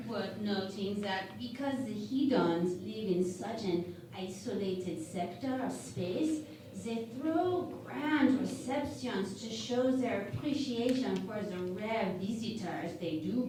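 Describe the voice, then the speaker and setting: A woman playing an officious, salacious starship doctor in a parody radio play, lecturing in a deliberate manner. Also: medium pitch, lightly acted. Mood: suggestive. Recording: very echoey room, distant mic, noise.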